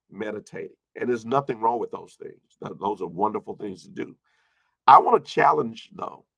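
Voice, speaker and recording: A man in his early fifties speaking as a pastor in an informal sermon, repeating phrases for emphasis and moving between loud and hushed delivery. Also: fairly low pitch, vocal fry, no echo. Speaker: pastor addressing an online congregation. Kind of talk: informal sermon with personal anecdotes and replies to viewer comments.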